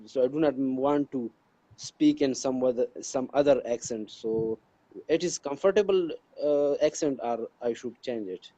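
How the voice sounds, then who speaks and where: A man's voice: mid-range voice, unhurried, dark tone, lo-fi sound. A man from Pakistan with a Pakistani accent, explaining his views earnestly as a student guest and often restarting his phrases. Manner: earnest, restarts and repeats words while building points.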